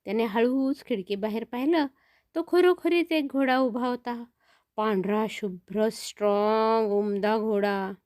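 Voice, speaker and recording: A woman's voice: on the high side, unhurried, a little mellow, dry recording, studio quality. A woman storyteller narrating for children, explaining things simply and speaking directly to young listeners.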